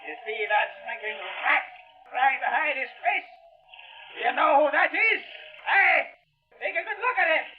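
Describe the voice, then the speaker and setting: An actor playing a burly, defiant sea captain in a 1940s radio drama, shouting bitter accusations in a declamatory manner. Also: male voice, very high-pitched. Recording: tinny, distant old radio recording with music.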